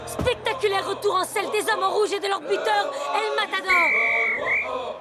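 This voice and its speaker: A woman playing an excitable female football commentator in an animated cartoon. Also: extremely high pitch, unhurried. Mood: thrilled. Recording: loud music and crowd behind.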